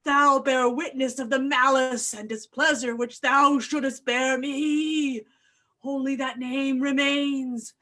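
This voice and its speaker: An actress playing a frightened, insistent character in a Shakespearean horror parody, delivered in a dramatic, declamatory stage manner. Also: female voice, high voice, steady tone, slow, bright, forceful tone, exaggerated voice. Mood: grave, defiant. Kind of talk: theatrical staged reading in mock Elizabethan English.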